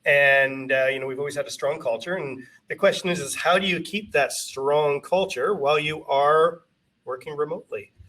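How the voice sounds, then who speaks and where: Man, company co-founder, casual self-mocking presenter, fairly high voice, distant mic. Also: normal speed, pushed and bright. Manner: chatty and self-deprecating, many fillers and restarts. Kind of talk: casual conference talk. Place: company office.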